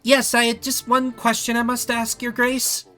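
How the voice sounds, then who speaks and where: A man's voice: very high voice, completely flat delivery, normal speed, bright, forceful tone, faint music behind, voice pushed up. A man voicing a polite, eager little princess in a video game, with a formal, childlike earnestness. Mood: earnest. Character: polite, eager little princess who loves her pets.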